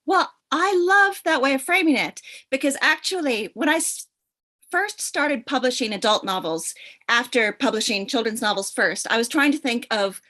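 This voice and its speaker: A woman with an American accent speaking as a panelist over a video call, enthusiastic and quick to laugh. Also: a little high. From United States. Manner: warm, enthusiastic, laughs often. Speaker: panelist, author. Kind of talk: panel discussion.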